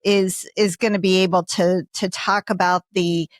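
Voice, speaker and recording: A middle-aged woman co-hosting a podcast, setting up a question for a guest in a halting, searching way. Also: on the low side, flat delivery, dry recording, studio quality. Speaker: podcast co-host. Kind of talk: conversational interview.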